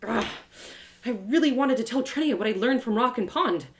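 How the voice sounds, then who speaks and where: A woman reading a first-person story aloud live, as its storyteller, in a dramatic, expressive way. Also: a little high, moderate pace. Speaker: author and storyteller.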